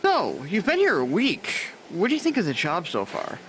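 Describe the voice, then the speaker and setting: A man's voice: high voice, wildly swooping pitch, moderate pace, a bit bright, slight background noise, full character voice, rough recording. An actor playing a dry, sarcastic, burned-out male nurse showing a new hire around, in an audio drama. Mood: sardonic.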